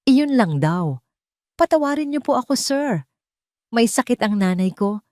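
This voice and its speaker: A woman's voice: a little high, big pitch swings, a little slow, dark tone, no room sound, studio quality, pitched above the performer's own voice. A woman voicing a scared, guilty poor woman who pleads tremblingly and deferentially in an audio drama. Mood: tearful confession.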